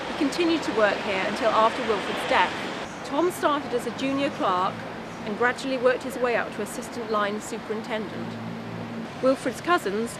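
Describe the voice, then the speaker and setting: A woman presents a scripted local history tour in a voice of middling pitch. She is recorded out in the town, with clear noise of traffic and the street behind her. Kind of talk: scripted narration. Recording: outdoor location sound with clear traffic noise.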